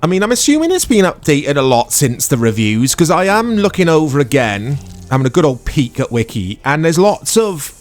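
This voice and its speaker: A man's voice: fairly high pitch, big pitch swings, normal speed, hardly any pauses. A man giving casual, sarcastic gameplay commentary, chatting and laughing at himself as he plays. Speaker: let's-play commentator.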